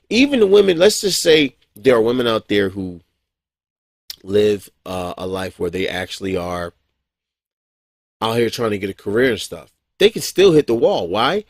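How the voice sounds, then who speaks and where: A man's voice: medium pitch, dry recording, studio quality. A man in his forties giving a casual, rambling monologue as a vlogger, blunt and profane, with frequent chuckles and restarts. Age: 40s.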